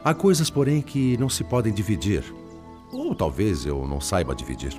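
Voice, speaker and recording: A man's voice: lowish voice, huge pitch swings, music in the background. An actor with a Brazilian accent playing a sorrowful male spirit, once a principled lawyer, in formal, eloquent radio-drama style. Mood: troubled, dignified. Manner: formal, eloquent, sorrowful, halting when troubled.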